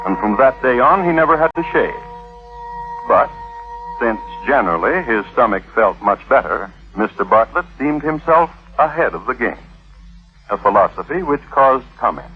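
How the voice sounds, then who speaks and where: Casting: male narrator for 1950s crime radio, drily witty, formal, very varied pitch, music underneath, tinny recording. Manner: deadpan wry, measured, formal. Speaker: narrator, host. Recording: tinny vintage radio broadcast.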